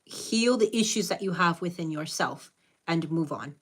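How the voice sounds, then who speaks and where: A woman's voice: on the low side, animated, normal speed, breathy edge. A woman with a Spanish accent, Spanish her first language, giving blunt, direct, motherly advice to viewers on a live stream.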